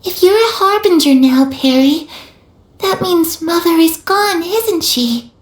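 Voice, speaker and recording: A woman's voice: very high voice, animated, slow pace, echoey room, full character voice. A woman voicing a cheerful, trusting young girl ghost who talks earnestly and childlike in a game cutscene. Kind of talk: video game cutscene voice acting.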